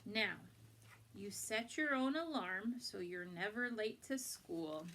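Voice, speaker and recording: A woman reading a picture book aloud to children in an expressive, deliberate, instructive way. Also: mid-range voice, slight background noise. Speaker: storybook reader for children.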